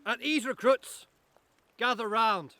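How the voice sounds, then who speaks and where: An actor playing an authoritative ex-military headmaster who addresses pupils like recruits in an audio drama. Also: male voice, extremely high pitch, slow, pushed and bright, a little hiss or hum, full character voice. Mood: commanding. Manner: commanding, barking like a drill officer.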